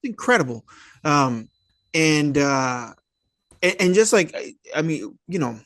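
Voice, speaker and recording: Enthusiastic slangy man, fairly high dry voice. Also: big pitch swings, takes it slowly, fairly bright tone, no room sound. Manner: enthusiastic, slangy, swearing, hesitant phrasing full of 'like' and 'dude'. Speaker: podcast guest, independent filmmaker. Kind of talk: casual chat.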